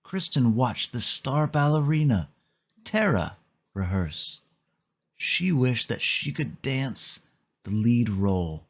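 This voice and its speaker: A man's voice: medium pitch, sing-song, takes it slowly, lo-fi sound. A man reading a scripted children's story aloud as a read-along narrator.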